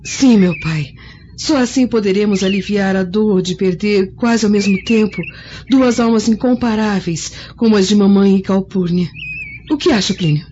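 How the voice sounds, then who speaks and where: An actress playing a dutiful, mournful young Roman daughter in a radio drama, speaking earnestly and formally. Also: female voice, medium pitch, normal speed, warm tone, natural voice. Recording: muffled lo-fi audio with faint noise.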